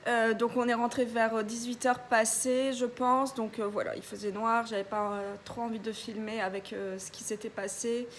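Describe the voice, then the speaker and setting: A woman's voice: fairly high pitch, little pitch movement, normal speed, few pauses, slight background noise. A young woman vlogger telling a story in a casual, chatty way, with many hesitations and a stuffy nose from a cold. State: congested, a bit ill.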